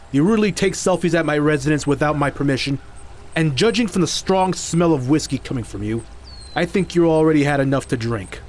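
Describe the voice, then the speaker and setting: A man playing a proud, unyielding, reclusive martial artist in an audio drama, speaking in a stern, blunt, deliberate way. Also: fairly high pitch, a little mellow, slight background noise, lightly acted. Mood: stern refusal.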